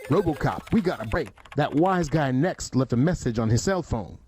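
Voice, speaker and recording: A man voicing a police officer in a game cutscene, calling in an urgent tip, loud and lower-pitched. Faint noise sits behind him. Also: lively intonation, moderate pace, close to an everyday voice.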